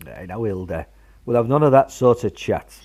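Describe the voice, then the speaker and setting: A man's voice: mid-range voice, lively intonation, normal speed, a little mellow. An actor playing a blunt, reassuring grandfather and war veteran in an amateur radio drama, with a folksy manner. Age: older. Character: gruff, reassuring grandfather, wartime veteran, family patriarch.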